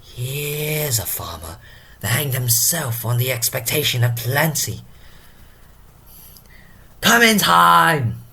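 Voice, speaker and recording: A man's voice: mid-range voice, a little slow, breathy edge. A man playing a drunken, rambling porter in a theatrical Shakespearean soliloquy, exclamatory and dramatic. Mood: loud, mocking. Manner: exclamatory, dramatic, swinging from loud calls to hushed muttering. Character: drunken, rambling comic castle porter playing at hell's gatekeeper.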